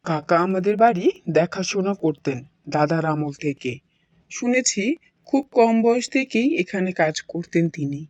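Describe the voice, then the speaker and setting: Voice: medium pitch, expressive, normal speed, long pauses, a little mellow, no echo, natural voice. A voice actor playing a polite, earnest grown son in a cartoon. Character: grown son returning home, earnest man. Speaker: cartoon character voice.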